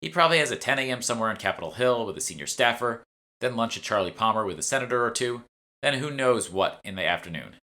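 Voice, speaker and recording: A man's voice: mid-range voice, expressive, fast talker, sharp tone. A man voicing a bossy, curt, sardonic female guild fixer in an audiobook. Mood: brisk. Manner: curt, impatient, know-it-all.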